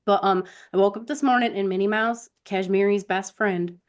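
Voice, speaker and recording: A woman's voice: mid-range voice, fairly even intonation, normal speed. Chatty woman telling casual stories. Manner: rambling storyteller who acts out quoted conversations. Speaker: podcast co-host. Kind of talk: casual anecdotal chat.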